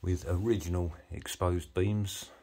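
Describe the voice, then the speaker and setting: A man giving a matter-of-fact, halting walk-through commentary as an estate agent, listing features as he moves through a house. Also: deep voice, steady tone, dark tone, slight background noise. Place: walking through rooms of a house.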